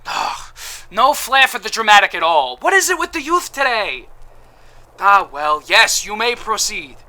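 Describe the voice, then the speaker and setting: An actor playing a theatrical, grandiose quack doctor who lectures with old-fashioned airs in an audio drama. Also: male voice, high voice, sing-song, measured pace, sharp tone, heavily acted. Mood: haughty. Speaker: shopkeeper healer.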